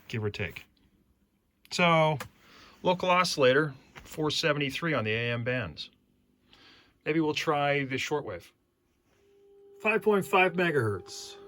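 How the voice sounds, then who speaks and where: A man giving a hands-on technical demonstration, thinking aloud methodically as he works through each step. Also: on the high side. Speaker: electronics hobbyist demonstrator. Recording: steady background noise.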